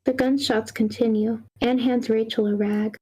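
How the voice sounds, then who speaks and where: Woman narrator, fairly high pitch, scripted action lines, live screenplay read. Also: fairly even intonation, normal speed, darkish tone, husky.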